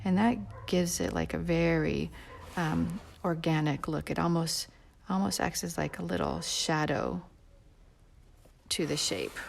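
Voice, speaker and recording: A woman leading a live drawing tutorial in a relaxed, rambling way with many fillers, sometimes dropping to a soft near-whisper as she works. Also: low-pitched, unhurried, creaky, slight background noise. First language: not Portuguese. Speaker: drawing instructor.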